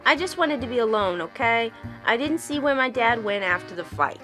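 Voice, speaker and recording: A woman's voice: fairly high pitch, animated, moderate pace, bright, forceful tone, poor audio. A woman playing a fiery, bitter daughter in her late teens in a noir radio drama, sassy and combative. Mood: hurt, defensive. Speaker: student voice actor. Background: music.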